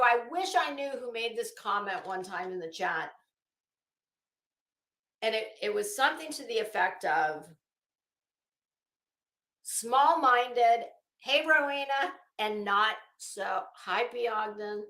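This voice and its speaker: A woman's voice: sits high, pushed and bright, roomy sound. A woman giving opinionated, casual live commentary as a host, blunt, combative and emphatic, with free swearing.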